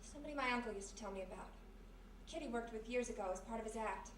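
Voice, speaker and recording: High, fast-talking radio sidekick gal, played by an actress. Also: female voice, lightly acted. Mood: hushed, ominous. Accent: American. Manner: insistent, slangy, urgent. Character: quick-thinking, stubborn young woman, detective's sidekick. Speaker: actor. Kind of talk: 1940s-style radio mystery acting, live. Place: live performance in a historic house. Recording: roomy, distant live recording with faint noise.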